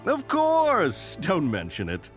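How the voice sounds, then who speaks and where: Wildly animated, affable eccentric engineer. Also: male voice, high-pitched, slow, heavily acted. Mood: gracious. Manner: theatrical, chatty, eager. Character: affable, eccentric, talkative young male engineer, eager to help. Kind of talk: video game character acting. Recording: background music under the voice.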